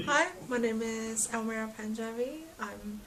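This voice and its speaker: A young woman of about twenty with a fairly high voice talks very slowly. She is hesitant and halting as she casually introduces herself. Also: heavy background noise. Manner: hesitant, halting, filler-heavy. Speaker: radio drama actress.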